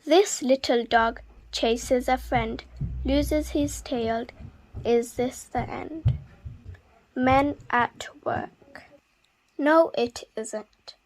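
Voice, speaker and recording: A woman reading a children's story aloud expressively, with deliberate, dramatic emphasis on repeated words. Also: high voice, low-quality recording. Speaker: children's book reader.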